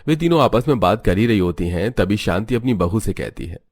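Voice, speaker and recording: A man's voice: fairly low pitch, lively intonation, a little fast, darkish tone, no room sound. A man giving scripted narration of a story as its narrator.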